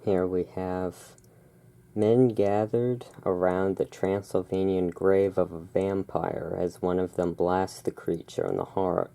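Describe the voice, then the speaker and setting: A host reading aloud in a relaxed ASMR style, with informal, self-deprecating asides and rain and thunder behind. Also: deep voice, slow. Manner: informal, self-deprecating, stumbles over foreign words. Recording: clear background noise of rain and thunderstorm. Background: rain and thunderstorm ambience.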